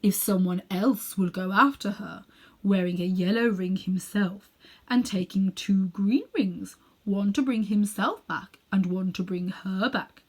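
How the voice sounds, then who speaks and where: A woman's voice: mid-range voice, unhurried, husky. A woman storyteller plays a pompous old uncle from a children's storybook. He is a cruel amateur magician with a smug, condescending manner. Every phrase is haughty and coolly superior. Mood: coolly scheming.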